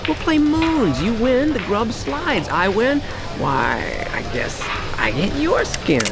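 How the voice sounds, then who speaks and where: A woman's voice: on the high side, big pitch swings, slow, exaggerated voice. An actress playing a menacing pool-hall crime boss in her thirties in a radio drama, sly and taunting as she speaks. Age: 30s. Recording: music behind the dialogue.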